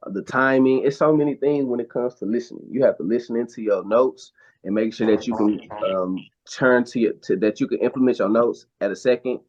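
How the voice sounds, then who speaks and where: A man speaks as an interview guest, earnest and emphatic, and his voice sits at a middling pitch. He restarts his phrases as he drives a point home. The recording is dull and slightly lo-fi. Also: a little fast, darkish tone. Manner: earnest, emphatic advice-giving with frequent restarts. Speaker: guest actor and model. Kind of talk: casual interview talk. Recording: dull lo-fi sound.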